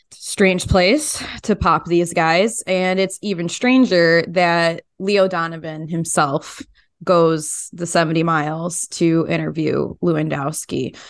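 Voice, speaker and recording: A woman's voice: on the low side, no echo, clean studio sound. A woman hosting a podcast, putting questions to guests in a casual interview style.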